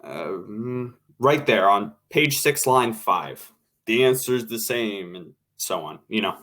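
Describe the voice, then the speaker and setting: A man's voice: on the low side, animated, slow pace, a bit bright, exaggerated voice. A man playing a wry, bossy radio show colleague in an old-time radio drama, with a dry, casual, take-charge manner. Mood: businesslike.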